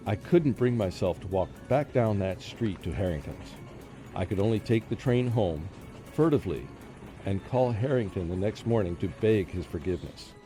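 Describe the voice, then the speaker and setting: A man playing a frightened college professor in a radio horror drama, with a brooding, halting, dramatic delivery. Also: lowish voice, mellow, natural voice. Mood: sheepish, subdued. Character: frightened haunted male college professor recounting a curse. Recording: music under the voice.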